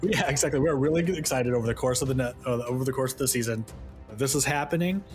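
A man hosting a podcast, making a casual announcement in an informal, halting way. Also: medium pitch, a little mellow, soft background music. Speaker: podcast host.

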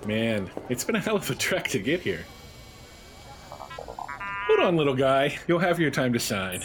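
A man playing an excitable, casual booth presenter at a game convention. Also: mid-range voice, measured pace. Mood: eager, casual. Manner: animated, casual. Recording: music and crowd noise behind.

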